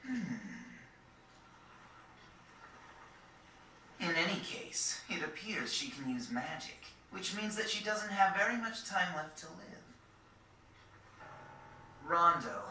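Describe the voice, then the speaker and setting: A man playing a cold, calculating villain in a video game cutscene, measured, sardonic and commanding. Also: fairly high pitch, expressive, sharp tone, heavily acted. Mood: calm, commanding. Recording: roomy, distant, faintly noisy game capture.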